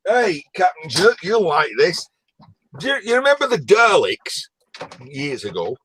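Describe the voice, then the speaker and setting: A man's voice: on the high side, sing-song, unhurried, sharp tone, a little hiss or hum. A man with an English accent, chatting and joking casually on a live stream. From England. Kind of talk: casual chat.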